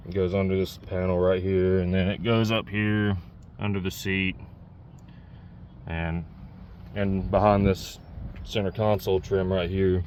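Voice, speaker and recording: Low-voiced man giving a casual hands-on walkthrough. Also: a little mellow, a little hiss or hum. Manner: plain-spoken, pointing things out as he works. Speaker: DIY vlogger demonstrating a truck CB radio install.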